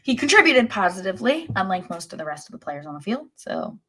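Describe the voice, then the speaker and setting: A woman's voice: mid-range voice, sing-song, quick pace, bright, forceful tone, slight background noise. A woman co-hosting a podcast, giving casual sports analysis in a wry, blunt, critical way. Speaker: podcast co-host.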